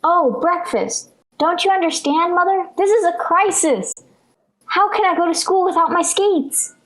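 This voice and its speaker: A woman's voice: extremely high pitch, measured pace, a bit bright, poor audio. A woman playing a dramatic, cheeky little schoolgirl daughter in a 1940s radio sitcom. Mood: fretful. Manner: whiny, overdramatic.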